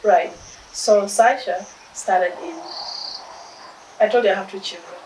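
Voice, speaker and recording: A Kenyan woman in her fifties speaking in a casual interview, animated and laughing often. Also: mid-range voice, a little slow. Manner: animated, mixes in Swahili phrases, laughs often. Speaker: interview guest. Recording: distant mic, echoey room, loud insect noise.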